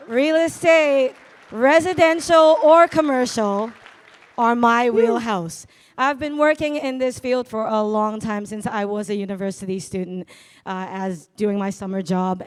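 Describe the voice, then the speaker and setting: Woman, raspy and brash, self-deprecating stage patter into a handheld mic, voice sits a bit high. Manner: loud, brash, self-mocking humor. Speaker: notary public at a business event. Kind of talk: live stage sponsor talk. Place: event hall stage.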